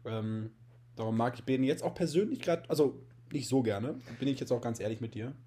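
A man's voice: mid-range voice, a little fast, dark tone. A young man in his twenties with a slight cold, hosting a podcast in a joking, rambling way and often repeating words. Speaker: podcast host. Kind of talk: casual chat.

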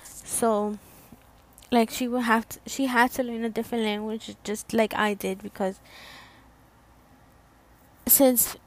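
Slow-spoken young woman, rambling. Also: on the high side, fairly even intonation, rough recording. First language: Spanish. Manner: rambling, many fillers and restarts. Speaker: student podcast host. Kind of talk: casual solo podcast talk.

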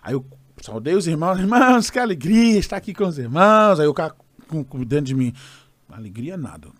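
A Brazilian man, a gospel singer guest, telling an animated story and acting out the voices of others. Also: on the high side, brisk, dry recording. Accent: Brazilian Portuguese. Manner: expressive, acts out quoted voices.

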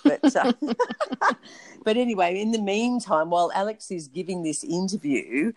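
A woman's voice: medium pitch, big pitch swings, unhurried, no echo. A woman co-hosting a podcast, recapping in a casual, rambling way with lots of ums and asides. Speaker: podcast co-host.

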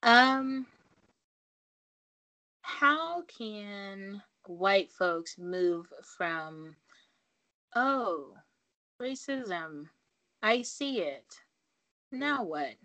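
A woman interviewing a guest, asking measured questions. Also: medium pitch, lively intonation, bright, forceful tone, rough recording.

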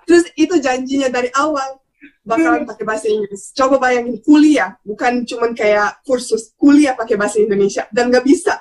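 A young woman chats as a podcast guest. Her voice sits fairly high and she talks quickly. She is expressive and talkative, dropping in English words as she tells her stories. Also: rough recording. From Bosnia. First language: Bosnian. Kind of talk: casual conversational storytelling.

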